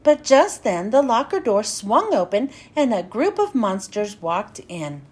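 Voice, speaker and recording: High-pitched, animated woman reading storybooks. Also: a little slow. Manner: animated, clearly enunciated storytelling. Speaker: children's read-aloud host. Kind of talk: expressive storybook narration for young children.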